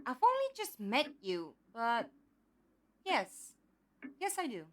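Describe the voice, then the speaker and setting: A woman playing a calm, trusting captive in an audio drama, speaking politely and reasonably. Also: on the high side, takes it slowly, full character voice. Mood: candid, calm. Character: calm, curious, trusting female captive with lost memory. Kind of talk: fan audio drama acting.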